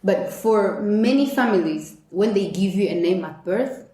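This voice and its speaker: A woman's voice: medium pitch, measured pace, reverberant. A young Rwandan woman with a Rwandan accent, a Kinyarwanda native speaker, chatting as a podcast guest and laughing often as she tells stories. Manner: animated storyteller, laughs often. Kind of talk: casual interview chat.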